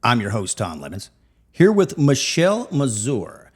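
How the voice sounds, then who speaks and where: A man's voice: mid-range voice, huge pitch swings, measured pace, breathy edge. A man hosting a talk show, interviewing a guest and asking long questions with frequent repeated words.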